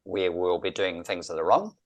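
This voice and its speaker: A man's voice: sits low, animated, moderate pace, gravelly. A middle-aged man from London with a southern English accent, talking reflectively and earnestly as an interview guest, often repeating phrases for emphasis. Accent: English, southern British. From London, England. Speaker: interview guest, executive coach.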